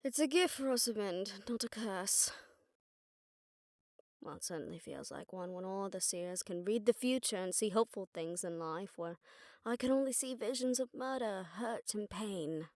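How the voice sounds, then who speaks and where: A woman's voice: mid-range voice, lively intonation, normal speed, darkish tone, dry recording, studio quality, natural voice. A woman playing a jaded, sardonic witch detective who sees visions of death, talking conversationally to a partner in a roleplay audio drama. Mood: bitter, sarcastic.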